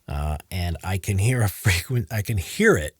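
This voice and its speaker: A man with a low, deep voice, casually explaining how he edits his voice-over recordings to a livestream audience from his home studio desk. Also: expressive, normal speed, husky, dry recording. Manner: talks over his own playback, breaking off to explain edits. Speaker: voice actor editing his own recordings. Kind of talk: casual livestream tutorial mixed with recorded narration takes. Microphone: studio mic on a boom arm.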